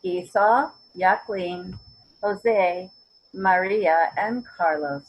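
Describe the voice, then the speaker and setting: A woman playing a warm, idealistic mother in her eighties in a readers theater scene. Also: lowish voice, extremely slow. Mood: warm, hopeful. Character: warm, idealistic eighty-three-year-old mother taking up a humanitarian mission. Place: at home on a video call. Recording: dull, noisy home video call.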